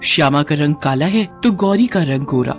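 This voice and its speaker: A woman storyteller reading a scripted family tale in a warm, even way. Faint music plays underneath. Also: deep voice, normal speed.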